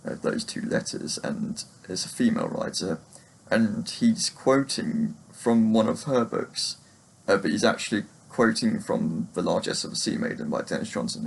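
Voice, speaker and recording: A man giving a casual, unscripted book review, speaking in a halting, hesitant way with long pauses. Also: on the low side, vocal fry, breathy edge, low-quality recording. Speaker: book reviewer, video blogger.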